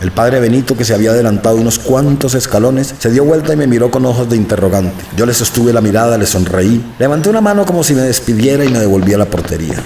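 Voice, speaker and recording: A man narrating a radio drama in the first person, calm and reflective. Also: medium pitch, quick pace, hardly any pauses, slight background noise. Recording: roomy, slightly distant mic.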